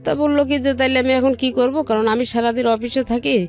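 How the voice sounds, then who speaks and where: A woman's voice: sits high, fairly even intonation, a little fast. A middle-aged woman with a Bangladeshi accent, telling her own life story conversationally as an interview guest, recounting who said what in detail. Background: background music.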